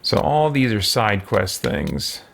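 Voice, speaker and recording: A man doing casual let's play commentary as a streamer, musing and thinking out loud. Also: fairly low pitch, sing-song, slow pace, creaky. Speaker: gaming streamer.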